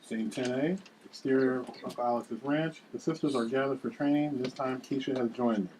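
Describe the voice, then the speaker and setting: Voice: medium pitch, fairly even intonation, a little fast, darkish tone, a little hiss or hum, low-quality recording. A narrator reading scene headings and stage directions from a script at a table read.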